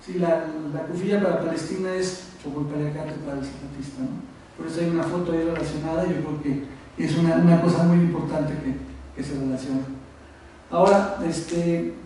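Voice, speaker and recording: A man with a Mexico City accent giving an informal conference presentation as an activist, halting, often repeating words and trailing off. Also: fairly high pitch, fairly even intonation, warm tone. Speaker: activist speaker on a conference panel. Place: university auditorium. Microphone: distant from the speaker. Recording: echoey room, distant mic, faint noise.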